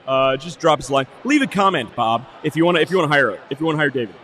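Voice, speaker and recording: A man co-hosting a casual business podcast, joking playfully, his voice hoarse and going out. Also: on the high side, expressive, rapid-fire, fairly bright tone. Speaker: podcast co-host. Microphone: cheap soundboard.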